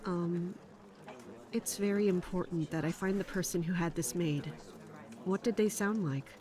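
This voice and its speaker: An actress as a low-voiced, earnest woman investigator. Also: crowd noise, close to an everyday voice. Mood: polite, insistent. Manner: measured, earnest, polite. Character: determined, polite young female investigator narrating her inquiry. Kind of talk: audio drama narration and dialogue.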